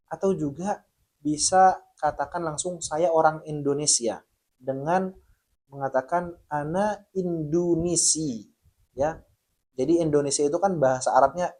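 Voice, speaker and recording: A man teaching an online language lesson in a friendly, patient way. He explains each point and slows down to spell out the example phrases. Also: on the high side, darkish tone. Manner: addresses viewers as friends.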